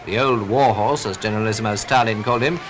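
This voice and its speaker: A man's voice: on the low side, quick pace. 1940s British newsreel narrator, male, over music. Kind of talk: scripted 1940s newsreel commentary.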